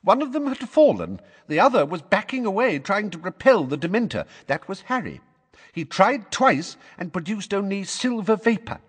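A woman's voice: mid-range voice, very animated, normal speed, husky, pitched above the performer's own voice. A man voicing a nervous elderly woman giving testimony. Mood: earnest. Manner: shaky then more confident. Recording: long hall reverb.

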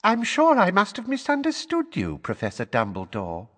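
A man voicing a simpering older witch official with a high-pitched, fluttery, girlish voice. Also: huge pitch swings, normal speed, fairly bright tone, full character voice. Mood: sugary, menacing. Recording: long hall reverb.

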